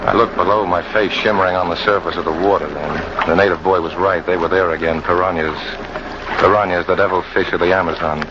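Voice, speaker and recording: A low-voiced, bitter hunting guide played by a man narrates in tough, colloquial radio-drama style. He broods like a hardened fugitive. The old recording is muffled and very noisy. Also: steady tone, fast talker, natural voice. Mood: grim. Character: bitter hard-boiled jungle hunting guide, fugitive, confessing his hatred.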